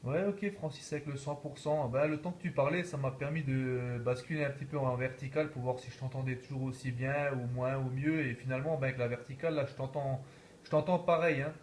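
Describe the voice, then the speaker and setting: A man's voice: medium pitch, fairly even intonation, brisk, darkish tone, a little hiss or hum. A man talking casually as an amateur radio operator, repeating himself and peppering his talk with verbal tags. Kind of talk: casual radio contact chat.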